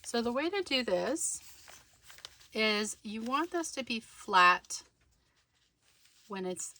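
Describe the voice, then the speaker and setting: A woman's voice: a little high, big pitch swings, slow, bright, forceful tone. A woman narrating a hands-on craft tutorial casually, thinking aloud as she works. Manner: informal, thinking aloud while working.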